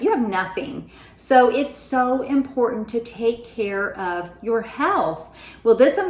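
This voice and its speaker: A woman with a slightly raspy voice, still recovering from an illness, talks straight to her viewers in a friendly advice vlog. She speaks earnestly and comes back to her main points again and again. The echo of a bare room hangs around her voice. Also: a little high. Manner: chatty and earnest, repeats key points. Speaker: weight-loss vlogger. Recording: echoey room.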